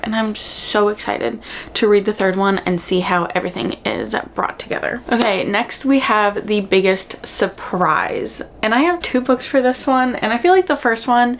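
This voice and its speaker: Gushing young woman vlogger, noisy background. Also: medium pitch. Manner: gushing, dramatic emphasis, slangy. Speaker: book vlogger. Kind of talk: casual chatty vlog to camera.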